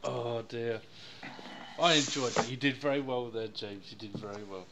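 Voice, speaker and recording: A man hosting a podcast in casual chat, relaxed and steering the show along. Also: medium pitch, normal speed, noisy background. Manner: relaxed, lots of fillers, runs the show. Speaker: podcast host.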